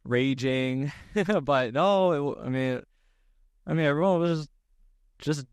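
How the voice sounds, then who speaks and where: A man's voice: fairly high pitch, unhurried, clear, resonant tone, no echo. A man hosting a casual conversation, talking in meandering, thoughtful phrases. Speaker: podcast host. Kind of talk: casual interview chat.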